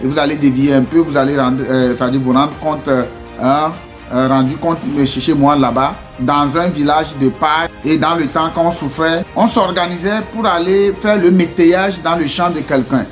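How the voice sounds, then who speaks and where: A man's voice: on the high side, fairly even intonation, normal speed, faint music behind. A man from Togo with a Togolese French accent, giving a casual motivational talk to his community, hesitant with many fillers and restarts, then pressing with earnest rhetorical questions. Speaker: entrepreneur addressing his community.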